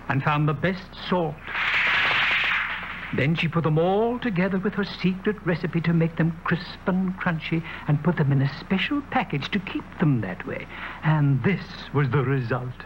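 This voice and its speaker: A man with a slightly high voice narrates an advertisement as if telling a little tale. The old recording is dull and plainly noisy. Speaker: commercial narrator. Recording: muffled, noisy old commercial audio.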